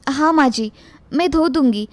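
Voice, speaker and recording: Woman as a high-voiced humble young bride. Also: measured pace, no room sound. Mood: obedient. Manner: polite, deferential. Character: humble, obedient young flower seller turned daughter-in-law. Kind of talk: Hindi moral story dialogue.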